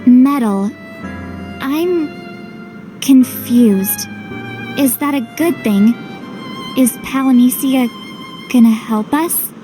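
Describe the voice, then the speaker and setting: A woman's voice: high voice, drawn-out words, long pauses. A woman voicing a blunt, modest young heroine in a video game, casual and dutiful. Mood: unsure. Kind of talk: English video game voice acting. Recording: game music under the voice.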